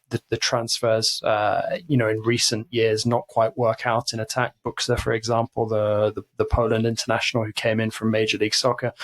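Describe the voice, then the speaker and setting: A man giving long analytical answers as a football pundit on a podcast panel. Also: medium pitch.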